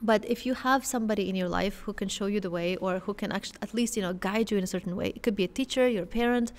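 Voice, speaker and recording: Young woman explaining calmly on a podcast. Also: medium pitch, fast talker. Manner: explanatory, reasoning step by step. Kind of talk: casual conversation. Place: dark studio. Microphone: boom mic in front.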